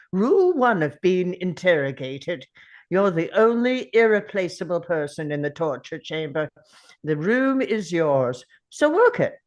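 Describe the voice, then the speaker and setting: A woman plays an ancient, brooding time traveller in a drama table read. The delivery is theatrical and defiant, with a showman's sardonic edge. It moves slowly, with deliberate pauses and a very lively, swinging pitch, a little on the low side.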